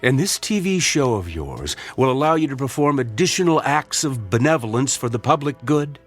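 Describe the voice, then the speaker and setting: An actor playing a blunt, sardonic fellow doctor questioning a colleague, in an old-time radio drama. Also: male voice, mid-range voice, very animated, normal speed, soft background music. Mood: probing. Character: skeptical male colleague doctor, probing.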